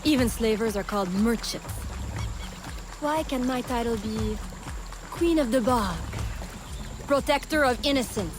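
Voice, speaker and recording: An actress playing a proud, sharp-tongued woman smuggler of the swamp in a video game, sassy and blunt. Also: a little high, unhurried, long pauses, over music, close to an everyday voice. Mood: wry, boastful.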